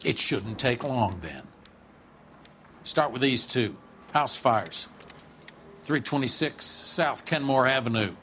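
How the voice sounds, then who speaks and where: An actor playing a curt, commanding, foul-mouthed police captain in a 1940s crime drama game. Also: male voice, medium pitch, lively intonation, slow, pauses often, fairly bright tone, slight background noise. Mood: brusque orders. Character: hard-nosed, foul-mouthed police captain running a squad.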